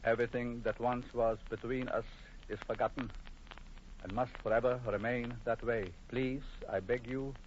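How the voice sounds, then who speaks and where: An actor playing a stern, bitter doctor and betrayed husband in an old-time radio drama, formal and dramatic with a husky voice. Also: male voice, mid-range voice, fairly even intonation, moderate pace, slight background noise, lightly acted. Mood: grief-stricken. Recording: muffled old radio broadcast.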